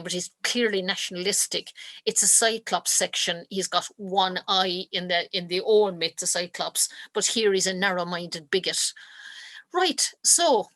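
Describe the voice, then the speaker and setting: A woman giving an online literature lecture over a video call, speaking thoughtfully with frequent pauses, ums and restarts. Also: medium pitch, fairly bright tone, dry recording. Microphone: computer mic on a video call.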